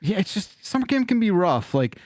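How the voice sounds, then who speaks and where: A middle-aged man hosting a call-in podcast in casual chat, often halting and restarting his sentences. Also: high voice, sing-song, a little mellow, no room sound, studio quality. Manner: rambling, halting, self-interrupting. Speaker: podcast host of a call-in show.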